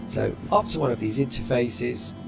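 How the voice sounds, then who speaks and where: A man narrating a game walkthrough in a measured, matter-of-fact way. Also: mid-range voice, creaky, rough recording. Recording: music playing behind.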